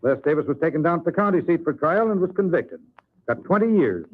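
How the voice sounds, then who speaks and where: Brisk western lawman official. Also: a little high, expressive, phone-quality sound. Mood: explanatory. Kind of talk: old-time radio western acting. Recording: thin old radio recording.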